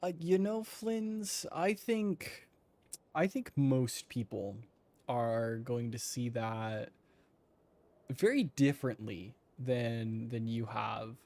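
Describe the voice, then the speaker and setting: A man's voice: medium pitch, slow pace, darkish tone, dry recording. A man casually commenting on a video game as he plays, with wry, dry asides. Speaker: gaming streamer.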